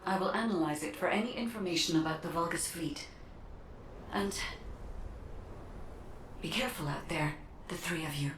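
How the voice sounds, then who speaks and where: An actress voicing a polite, calm female artificial intelligence guide in a video game, measured, formal and literal. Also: lowish voice, reverberant, slight background noise, close to an everyday voice. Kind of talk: video game cutscene acting.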